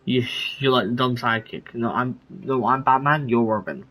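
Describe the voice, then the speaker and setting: A man's voice: mid-range voice, normal speed, sharp tone, low-quality recording. A man giving casual, musing reaction commentary as a gaming streamer, in a rambling way.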